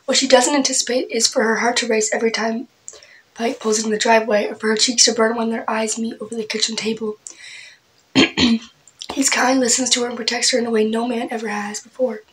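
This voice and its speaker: A young woman chatting casually about her book haul, clearing her throat often as she loses her voice, her asides trailing off soft and breathy. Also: a little high, little pitch movement, brisk, low-quality recording. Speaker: book vlogger. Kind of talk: casual book haul chat with read-aloud summaries.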